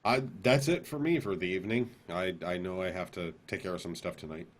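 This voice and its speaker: A man's voice: low voice, brisk. A man co-hosting a podcast, casually bantering on a live stream with easygoing, brief interjections.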